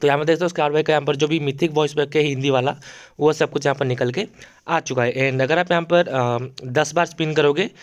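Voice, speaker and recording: A man's voice: on the high side, fairly even intonation, a little fast, lo-fi sound. A man giving casual game update commentary as a YouTuber, explaining things repetitively with plenty of fillers. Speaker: gaming YouTuber. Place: voice-over on mobile game screen recording.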